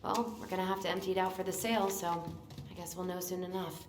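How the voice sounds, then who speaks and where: Actress as a plainspoken young American in echoey room. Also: female voice, lowish voice, steady tone, fast talker, noisy background, natural voice. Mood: curious, practical. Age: young adult. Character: frustrated, grieving young adult searching for answers. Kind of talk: naturalistic video game drama acting.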